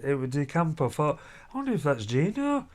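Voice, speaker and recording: A man's voice: a little high, very animated, quick pace, a little mellow. A middle-aged man, a father chatting casually in a family vlog from a hotel room, rambling and down-to-earth. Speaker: vlogger, father.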